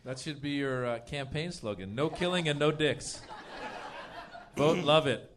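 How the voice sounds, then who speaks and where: A man's voice: fairly high pitch, a little slow. A man trading comic banter as a panelist in short, playful, punchy quips, recorded live with some noise. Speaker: panelist, comedian. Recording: noisy live ambience.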